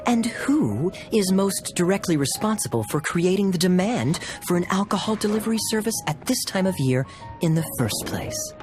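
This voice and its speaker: Suave, teasing captain with lively intonation. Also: male voice, high-pitched, normal speed. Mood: wry, teasing. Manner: smooth-talking, wry, theatrical. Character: suave, teasing young cavalry captain with theatrical charm. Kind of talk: English video game voice acting. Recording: music playing behind the voice.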